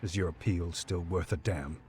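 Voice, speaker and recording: A man's voice: low-pitched, moderate pace, darkish tone, soft background music, natural voice. An actor voicing a cold, defiant male prisoner in a game cutscene, answering in curt, dismissive lines. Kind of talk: game voice acting, dub.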